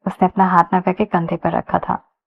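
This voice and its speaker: A woman's voice: on the low side, flat delivery, a little fast, vocal fry, husky. A woman narrating a romance story in a conversational storytelling style. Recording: dull lo-fi sound.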